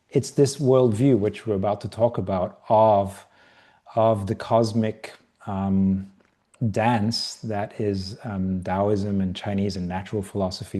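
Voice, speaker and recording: A middle-aged man speaks as a guest in a casual interview. His voice is mellow, dark and a bit low, and he phrases his thoughts reflectively, in halting pieces. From Britain, raised partly in Asia. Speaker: podcast guest, yoga and qigong teacher trainer.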